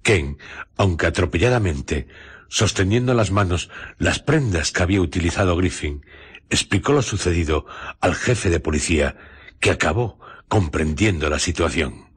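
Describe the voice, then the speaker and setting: A man narrating a suspense tale for Spanish radio theatre, with solemn, measured and dramatic phrasing. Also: low voice, expressive, husky, full character voice. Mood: dramatic, grave. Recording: noisy radio drama recording with clicks.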